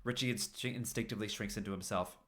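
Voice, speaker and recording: A man's voice: on the low side, steady tone, quick pace, a bit bright. A man reading a story aloud expressively on a stream, now and then tripping over a phrase and repeating it. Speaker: fan fiction reader on a livestream.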